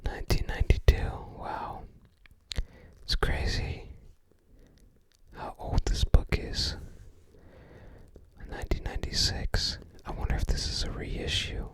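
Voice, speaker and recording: Slow, whispering ASMR host. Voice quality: breathy, unvoiced whisper. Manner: slow, murmuring in short fragments, musing aloud. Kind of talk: ASMR, casual page-by-page commentary on a book.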